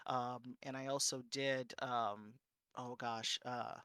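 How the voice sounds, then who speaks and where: A man giving an informal panel talk and answering questions in a conversational, halting, self-correcting way. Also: medium pitch, fairly even intonation, bright, forceful tone, clear, resonant tone, no room sound, studio quality. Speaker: panel speaker and streamer.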